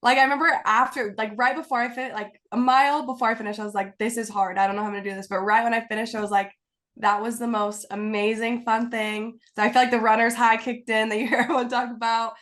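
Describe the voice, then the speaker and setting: A woman's voice: on the high side, level tone, fast talker, a bit bright. Bubbly, slightly stuffy young woman guest. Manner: bubbly, giggly, rambling with many "like"s. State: a little stuffy from a cold. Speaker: guest, content creator. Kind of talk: casual video-call interview. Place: home, indoors. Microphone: video call.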